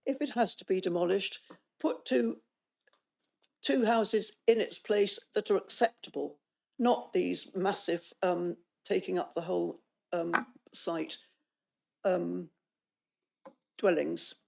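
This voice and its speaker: Woman councillor, low, dark, gravelly voice, hoarse, earnest with ums. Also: slow pace, long pauses. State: losing her voice. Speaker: councillor speaking against an application. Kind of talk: pleading speech at a council meeting.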